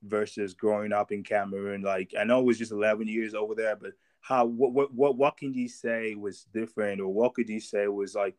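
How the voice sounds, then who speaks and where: A young man hosting a casual podcast, talking in a relaxed, rambling way with lots of fillers and restarts. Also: fairly low pitch, brisk, few pauses. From immigrant to America. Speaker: podcast host.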